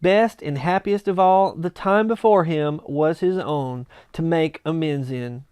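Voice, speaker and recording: A man reading a classic story aloud as narrator, with expressive, theatrical and emphatic delivery. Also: fairly high pitch, unhurried, smooth, no echo. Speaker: narrator, audiobook reader.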